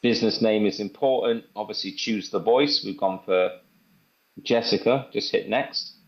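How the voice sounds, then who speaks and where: A man presenting a software demo in an informal, step-by-step tutorial style. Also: fairly low pitch, moderate pace, dull audio. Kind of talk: casual screen-share tutorial walkthrough.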